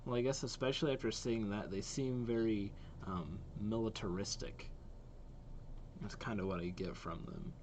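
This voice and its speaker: A man's voice: fairly low pitch, fairly even intonation, moderate pace, a little mellow, slight background noise. A man giving casual reaction commentary as a host, thinking aloud as he goes.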